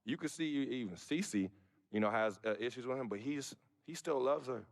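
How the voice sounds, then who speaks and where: An actor playing a loyal, earnest young pro basketball player, speaking casually and hesitantly in a video game cutscene. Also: male voice, medium pitch, animated, moderate pace, lightly acted. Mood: earnest, defensive.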